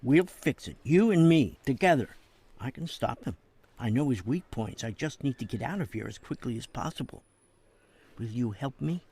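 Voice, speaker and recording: An older American man, a jailed politician in a game drama. His pitch moves in lively swings. He speaks with wry, fatherly persuasion, coaxing like a practiced schemer. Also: normal speed, husky. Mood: persuasive, conspiratorial. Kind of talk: dramatic game cutscene acting.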